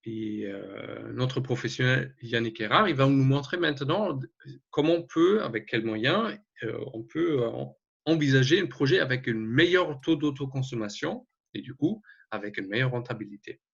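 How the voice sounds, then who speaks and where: A man with a German accent, a native German speaker, explaining slides haltingly as an advisor in an online webinar, often correcting himself. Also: medium pitch, expressive, gravelly, fairly bright tone, husky. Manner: halting, many hesitations and self-corrections. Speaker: energy advisor presenting a webinar. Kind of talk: explanatory slide presentation, semi-spontaneous. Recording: dull, lo-fi webinar audio.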